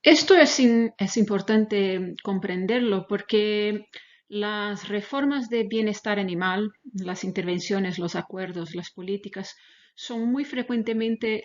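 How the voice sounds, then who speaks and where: A woman researcher gives an online lecture in Spanish, which is not her native language. Her pitch is mid-range for a woman and her phrasing is careful and a little halting. The webinar audio is slightly dull and lo-fi. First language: not Spanish, speaks Spanish as a second language. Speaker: animal welfare researcher, webinar presenter.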